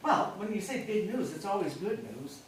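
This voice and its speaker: A woman's voice: mid-range voice, very animated, moderate pace, lightly acted. An actress in a staged play reading, playing a harried, insistent older wife and mother. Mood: busy, explaining. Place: indoor live staged reading. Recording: echoey room from far off, faint noise.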